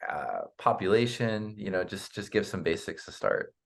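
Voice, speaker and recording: A man hosting a podcast, asking questions in a relaxed, hesitant way with many fillers. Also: low-pitched, normal speed, creaky. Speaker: podcast host. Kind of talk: casual interview hosting.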